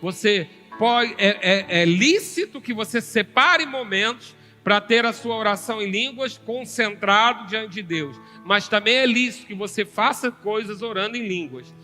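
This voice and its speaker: Bright high-voiced male preacher. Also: normal speed, hardly any pauses, long reverb tail, faint music behind. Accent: Brazilian Portuguese. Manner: teaching, calling for "amém" from the congregation. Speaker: pastor teaching a seminar. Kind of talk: sermon.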